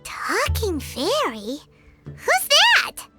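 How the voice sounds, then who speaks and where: A woman voicing a tiny, bubbly female fairy companion in a video game, with a high, childlike, squeaky voice and a curious, excitable way of talking. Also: loud music behind the voice, exaggerated voice. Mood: chirpy. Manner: bubbly, curious, quick to exclaim.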